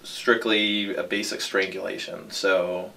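A man with a fairly low voice talks a little away from the mic over faint music. He recounts things casually and matter-of-factly, as in conversation. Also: level tone, unhurried, creaky, pushed and bright, recorded from a distance.

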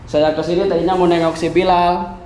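A woman's voice: fairly low pitch, little pitch movement, fairly quick, a little mellow, a little hiss or hum. A woman with an Indonesian accent hosting a casual, chatty interview, often echoing what she hears. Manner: reactive, often echoing the other speaker. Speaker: interviewer and channel host. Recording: echoey room with chatter behind.

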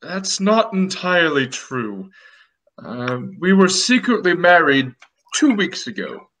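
A young man playing an anxious, self-important groom in melodramatic, drawn-out old-time radio mystery style. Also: a little high, sing-song, fairly bright tone, full character voice, low-quality recording. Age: young adult. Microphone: video call.

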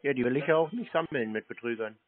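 A man talking curtly and teasingly on a phone call, deadpan and confrontational. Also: medium pitch, fast, no echo. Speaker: scam-baiting call recipient, channel host. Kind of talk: phone conversation, curt and teasing. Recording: narrow-band phone call audio.

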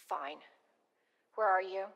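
An actress playing a defiant young heroine on a telephone call in a video game, with a husky, creaky voice. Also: female voice, medium pitch, slow. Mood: wary. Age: young adult. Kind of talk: cinematic video game acting. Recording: long echoing room reverb.

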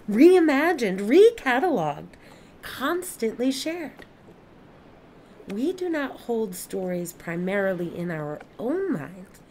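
Woman playing a high-voiced formal young alien. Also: a little mellow, slight background noise. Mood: awed, eager. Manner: formal, precise, stilted wording. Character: alien witness, newly adult, formal, earnest and curious. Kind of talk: audio play acting.

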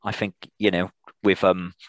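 A British man informally answering questions as a presenter on a video call, in a halting way with repeated words and restarts. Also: deep voice, level tone, dry recording. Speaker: air traffic controller, guest presenter.

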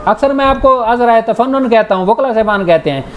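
A man's voice: very high voice, lively intonation, quick pace, phone-quality sound. Male law lecturer, Pakistani accent, conversational delivery with English legal terms, noisy recording. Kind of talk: informal lecture explaining statute articles with examples.

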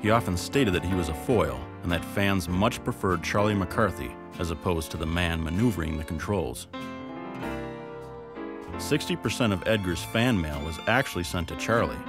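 Low-voiced documentary narrator. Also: male voice. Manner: even, measured reading. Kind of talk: scripted narration. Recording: music bed behind.

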